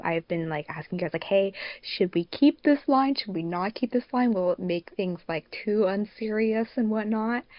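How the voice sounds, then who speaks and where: A woman's voice: medium pitch, no echo. A woman chats casually as a podcast co-host. Her manner is informal and giggly, full of slang. The sound is dry and a little dull, like a remote voice call. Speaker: podcast co-host, fandub production crew member and editor.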